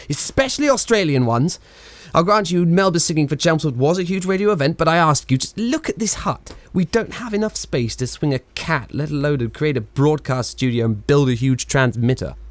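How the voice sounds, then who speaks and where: A man's voice: fairly high pitch, expressive, a little fast, hardly any pauses, dry recording, close to an everyday voice. A man acting a witty, sardonic, reluctant 1920s wireless engineer in a radio play, with a rambling, flippant delivery. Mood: exasperated, protesting. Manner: wry, rambling, exasperated, theatrically flippant.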